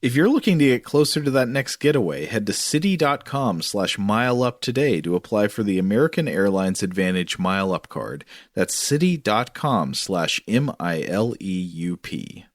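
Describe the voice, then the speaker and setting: A man co-hosts a podcast with a lowish voice and a conversational delivery. The recording is close and dry, with hardly any room sound. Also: sing-song, normal speed, hardly any pauses, no echo. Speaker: podcast co-host. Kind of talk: casual chat, scripted ad reads and listener mail.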